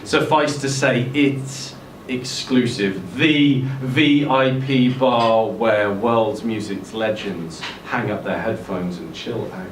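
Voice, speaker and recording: A man's voice: lowish voice, takes it slowly. A man narrating a short story dramatically at a live indoor event. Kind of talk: dramatic live fiction reading. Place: bar event room, indoors. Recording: roomy distant live-event recording, faint noise.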